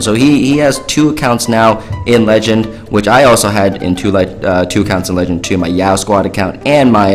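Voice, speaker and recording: A hoarse young man with a raspy voice, chatting casually as he commentates replays from a mobile strategy game. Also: fairly low pitch. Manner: chatty, filler-heavy, upbeat. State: hoarse, losing his voice. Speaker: gaming YouTuber.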